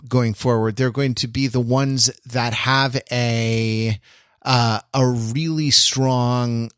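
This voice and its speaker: An American man co-hosting a podcast in casual analytical discussion, wordy and intellectual, often restarting his sentences haltingly. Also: mid-range voice, a bit bright, no echo, clean studio sound. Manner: hesitant, halting delivery with repeated false starts. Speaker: podcast co-host.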